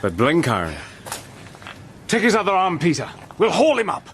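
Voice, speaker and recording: A steady British major. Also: male voice, sits high, moderate pace, background noise, heavily acted. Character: British army major, brave and level-headed. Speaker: narrator and hero. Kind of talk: radio play narration and acting.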